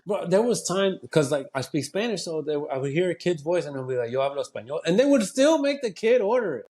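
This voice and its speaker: A man's voice: a little high, sing-song, quick pace, darkish tone. A young man from Texas hosts a casual podcast chat. He is loose and easygoing, swears freely and breaks into laughter often. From Texas, USA. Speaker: podcast host.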